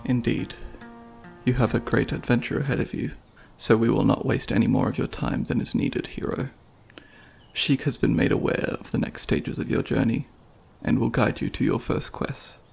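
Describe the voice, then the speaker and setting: Man acting a creaky, solemn mystical monk. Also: mid-range voice, fairly even intonation, a little mellow, soft background music. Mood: calm, instructing. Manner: measured, formal, reverent. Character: solemn mystical Sheikah monk elder, devout storyteller. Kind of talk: ASMR fantasy roleplay.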